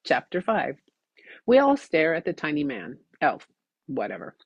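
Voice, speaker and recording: A woman reading her own audiobook story in an expressive, scripted narrating style. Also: low voice, measured pace, creaky, slightly rough, no room sound, lo-fi sound.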